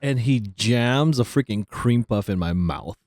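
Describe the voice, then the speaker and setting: A man co-hosting a casual podcast, telling stories with big swings in pitch. He acts out quotes in loud, exaggerated voices. The sound is dry and close to the mic. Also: unhurried, no echo. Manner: animated storyteller, loud acted-out quotes, frequent laughs. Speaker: podcast co-host. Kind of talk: casual chat.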